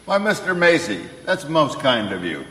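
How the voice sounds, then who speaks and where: Kindly old Santa, radio play, swooping expressive pitch, gentle avuncular manner, echoey hall. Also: male voice, moderate pace. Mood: jolly. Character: kindly, jolly old man who is Santa Claus. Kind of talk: old-time radio drama acting. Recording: echoey hall, faint noise.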